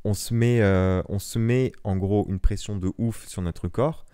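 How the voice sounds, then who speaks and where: A man's voice: lowish voice, fairly even intonation, unhurried, dark tone, clean tone. A man in his early twenties hosting a solo podcast, talking earnestly and with passion, with frequent restarts and fillers. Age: young adult. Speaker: podcast host.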